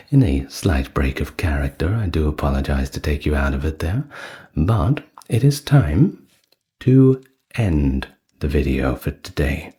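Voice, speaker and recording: Very deep, slow-talking male ASMR narrator. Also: mellow. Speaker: host, narrator of a history and mythology channel. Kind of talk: ASMR storytelling and reading.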